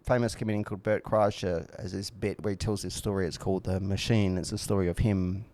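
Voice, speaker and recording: A man's voice: deep voice, steady tone, fast, a little mellow, no echo. A man co-hosting a podcast in casual, rambling conversation, blunt and opinionated, with frequent swearing. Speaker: podcast co-host.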